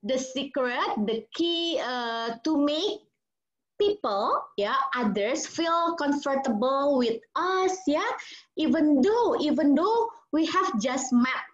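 A woman's voice: high-pitched, animated, slow pace. A woman with an Indonesian accent, a child psychologist giving an interactive parenting webinar, switching between English and Indonesian with many fillers. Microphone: video call. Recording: muffled video call audio.

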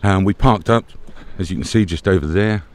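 A man's voice: low voice, brisk. A middle-aged British man chatting casually to camera as a travel vlogger, outdoors at a campsite. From Britain. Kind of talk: casual vlog chat to camera. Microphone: camera at arm's length. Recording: outdoor camera audio with background noise.

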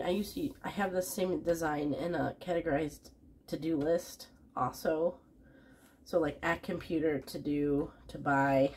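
Young woman, fighting off a cold, chatty home vlog, lots of ums, lower-pitched, faint room hum behind. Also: darkish tone. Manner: chatty, many ums and restarts. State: getting over a cold. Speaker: planner vlogger. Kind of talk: casual planner flip-through. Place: home, at a desk.

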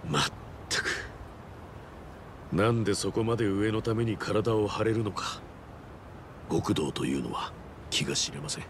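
An actor playing a polished, composed fixer in a game, speaking politely with dry wit. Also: male voice, on the low side, animated, noisy background. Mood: cool, wry. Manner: polite, measured, wry. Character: polished, composed male fixer, dryly courteous.

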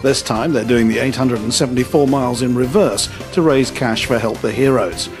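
A man narrating a local news video in a measured, scripted voice-over. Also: mid-range voice. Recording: voice-over over loud music.

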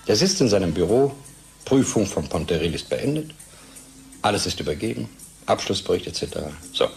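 Deep-voiced, disillusioned husband. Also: male voice, big pitch swings, a little fast, gravelly, darkish tone, faint music behind, exaggerated voice, low-quality recording. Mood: agitated, bitter. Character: disillusioned middle-class husband, agitated and gloomy. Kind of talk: radio drama acting.

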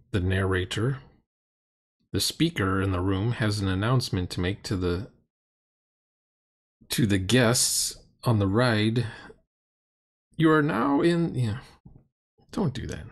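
A middle-aged man with a husky voice delivers casual commentary as a reacting host. His pitch swings widely as he makes exasperated, mocking points with strong emphasis. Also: lively intonation, slow pace, long pauses, a little mellow. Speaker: host reacting to a game recording. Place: dim room indoors.

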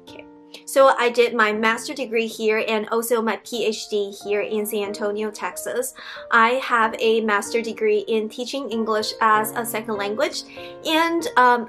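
A woman's voice: a little high, a bit bright. Mandarin-accented young woman vlogging. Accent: Mandarin Chinese. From Beijing, China. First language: Mandarin Chinese. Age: young adult. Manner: friendly, explanatory, with frequent ums and restarts. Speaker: English teacher and vlogger. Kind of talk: casual talk to camera. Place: small indoor room with wood-panelled wall.

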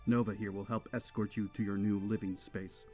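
A man's voice: fairly low pitch, little pitch movement, brisk, warm tone, faint music behind, natural voice. A man voicing a stern, protective cartoon unicorn king who speaks in a formal, commanding way. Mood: stern, threatening. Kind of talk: fan cartoon voice acting.

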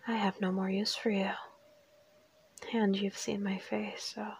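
A woman voicing a crazed, teasing assassin kidnapper in an intimate audio roleplay, whispery and unhurried, with a taunting menace. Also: mid-range voice, level tone, a little mellow, full character voice. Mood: cold, teasing. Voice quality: breathy, whispery. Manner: taunting, unhurried, coolly threatening.

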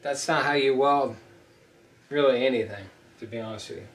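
A man's voice: mid-range voice, moderate pace, far from the mic. Blunt middle-aged man teaching welding. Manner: blunt, emphatic, repeats himself. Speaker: ship fitting instructor. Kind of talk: whiteboard welding lesson. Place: small indoor room at a whiteboard. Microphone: camera mic from a few feet away. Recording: roomy echo, voice off-mic.

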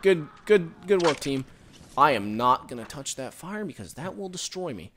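A man giving casual, rambling commentary while playing a game, recorded on a headset and reacting loudly to the action. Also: fairly high pitch, very animated, moderate pace, noisy background. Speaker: gaming commentator.

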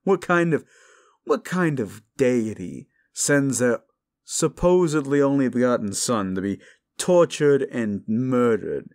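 A man's voice: medium pitch, expressive, slow, a little mellow, pitched above the performer's own voice. A man voicing a cruel, condescending demon in an intimate audio roleplay, taunting the listener with drawling sarcasm. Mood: scornful amusement. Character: condescending male demon, gleefully cruel and mocking.

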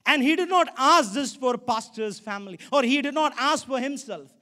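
A male pastor with an Indian accent delivers a sermon in a strikingly high-pitched voice. He is emphatic and exhorting, and he repeats key phrases to press them home. He speaks into a handheld microphone. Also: expressive, normal speed, pushed and bright. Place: large stage in an indoor auditorium.

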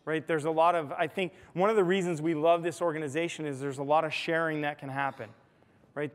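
An American man giving a conversational conference keynote, explaining points and often checking in with "right?", recorded on a lapel mic in a large ballroom. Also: on the high side. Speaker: technology executive giving a keynote. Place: large hotel ballroom stage, indoors. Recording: reverberant hall, amplified stage sound.

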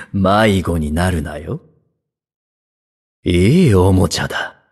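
Casting: sadistic young demon in a fantasy game, languid and theatrical, lowish voice with sweeping intonation. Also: male voice, expressive, slow pace, darkish tone, full character voice. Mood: mocking, languid. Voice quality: breathy, husky. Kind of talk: game character voice lines.

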